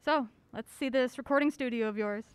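A woman plays a polite, curious young woman in a radio mystery. Her voice is on the high side. There is a faint noise in the recording. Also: fairly quick, close to an everyday voice. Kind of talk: audio drama acting.

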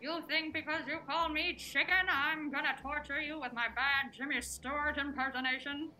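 A woman's voice: high-pitched, fairly even intonation, normal speed, pushed and bright, a little hiss or hum, rough recording. A woman playing a wry, wary amateur sleuth in a naturalistic audio drama, with a dry, questioning manner. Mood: wry, teasing. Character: wry, wary young woman on a mystery hunt.

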